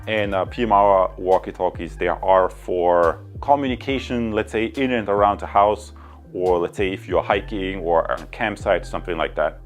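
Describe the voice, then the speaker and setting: A man's voice: low-pitched, faint music behind. A man presenting a product review in casual talk to viewers, often hesitating and correcting himself. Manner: halting, with frequent hesitations and self-corrections.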